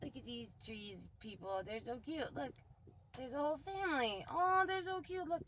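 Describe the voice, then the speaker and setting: A woman's voice: high voice, normal speed, a bit bright, slight background noise. A woman talking casually and informally, telling an improvised story in a rambling way with plenty of filler "like".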